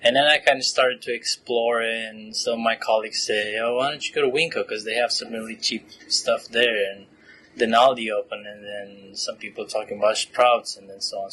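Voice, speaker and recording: A man in his late twenties from Romania, a graduate student answering interview questions in a relaxed, chatty way with frequent fillers and laughs. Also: fairly low pitch, a little hiss or hum, low-quality recording. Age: 20s. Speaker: podcast guest, PhD student.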